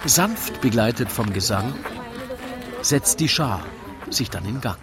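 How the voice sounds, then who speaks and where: A man's voice: mid-range voice, music in the background. Wry male verse narrator, crowd behind. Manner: wry, theatrical, measured verse delivery. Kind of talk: rhymed comic scripted narration. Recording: lively crowd chatter behind. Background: crowd murmur.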